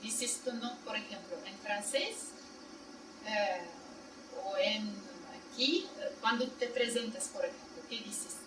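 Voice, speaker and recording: A woman's voice: medium pitch, slow. A woman lecturing non-native, in an echoey room. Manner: explains in plain steps, checks listeners with "¿no?" and "¿sí?"; Spanish as a second language. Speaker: seminar lecturer. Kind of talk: university seminar lecture. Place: seminar room. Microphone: distant room mic. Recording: echoey room, far mic, loud noise.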